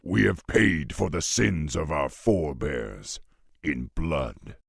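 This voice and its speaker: A man voicing a noble, resolute orc warchief in a fantasy game, with a deep husky voice and weighty, stately delivery. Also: sing-song, takes it slowly, dry recording, studio quality, exaggerated voice. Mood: solemn, resolute. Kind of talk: fantasy video game acting.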